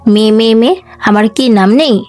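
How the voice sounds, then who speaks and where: A woman's voice: fairly high pitch, a little fast, darkish tone, dry recording, faint music behind, natural voice. A woman voicing a feisty, hot-tempered young woman who talks in a curt, indignant way, in a dramatic storytelling.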